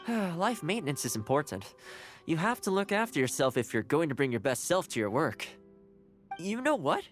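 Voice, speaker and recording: An actor playing a gentle, courteous young man in a video game, speaking in a measured, encouraging way. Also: on the high side, sing-song, soft background music. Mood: earnest. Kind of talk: scripted game character dialogue.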